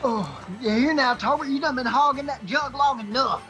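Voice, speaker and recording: Woman playing a high, rowdy hillbilly brother. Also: normal speed, over music, lightly acted. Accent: Appalachian. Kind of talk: radio drama acting.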